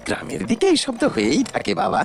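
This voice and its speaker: Creepy gravelly ghost uncle for a cartoon, super swoopy theatrical voice, music behind. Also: male voice, extremely high pitch, huge pitch swings, fast, exaggerated voice. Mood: falsely soothing. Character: menacing male village ghost posing as a kindly uncle. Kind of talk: animated horror cartoon dialogue.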